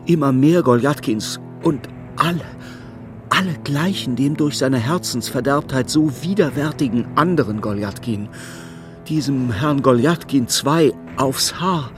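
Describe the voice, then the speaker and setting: An actor narrating a literary radio play as a sombre storyteller, measured and dryly ironic. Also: male voice, mid-range voice, over music, lightly acted. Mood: mounting dread. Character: sombre, wry male storyteller of a feverish literary tale.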